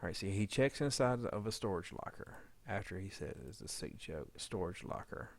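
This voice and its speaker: A man's voice: on the low side, expressive, normal speed, a little mellow, no echo. A man giving a casual editing tutorial, informally thinking aloud as he works. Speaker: audio drama editor demonstrating editing.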